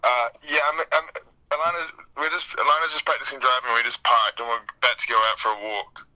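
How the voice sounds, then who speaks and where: A man's voice: mid-range voice, steady tone, fast, sharp tone. A man chatting casually as a guest on a tinny phone call. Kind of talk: casual chat. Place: on a phone, parked car.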